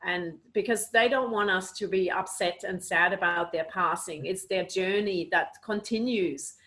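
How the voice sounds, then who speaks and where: Guest woman from Switzerland, earnest explainer, mid pitch, video call audio. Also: a little slow, a bit bright. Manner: earnest, explanatory, many "you know"s. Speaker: animal communicator, guest. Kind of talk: casual interview answers.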